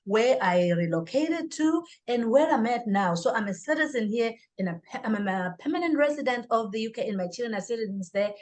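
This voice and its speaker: Middle-aged Southern African woman, fairly high voice, thoughtful interview guest who repeats phrases for emphasis. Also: lively intonation, brisk, few pauses, a little mellow. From Swaziland. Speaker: podcast guest, author and speaker. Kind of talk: reflective personal interview talk.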